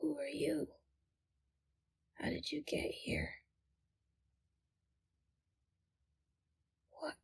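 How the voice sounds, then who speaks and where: A woman playing a timid, frightened young captive in an audio roleplay, speaking in a hesitant, hushed and halting way. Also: high voice, fairly even intonation, creaky, slight background noise, heavily acted. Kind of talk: scripted audio roleplay, ASMR.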